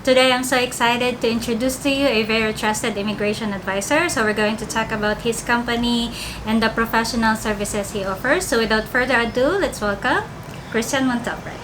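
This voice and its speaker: A woman's voice: fairly high pitch, brisk, noisy background. Chatty young woman hosting an interview. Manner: chatty and upbeat, with filler "like". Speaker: YouTube channel host. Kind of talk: friendly interview. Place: small office room, seated at a table.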